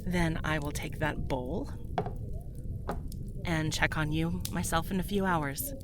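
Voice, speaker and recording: A woman speaking gently and caringly to the listener in an ASMR roleplay. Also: low-pitched, steady tone, slow pace, noisy background. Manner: gentle, caring, soft-spoken. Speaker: audio drama performer. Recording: voice over background music.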